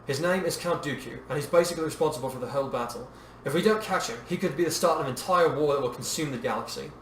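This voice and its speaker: A man voicing an older bearded warrior in a story, formal, measured and authoritative. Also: fairly high pitch, close to an everyday voice. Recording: roomy echo, distant mic, faint noise.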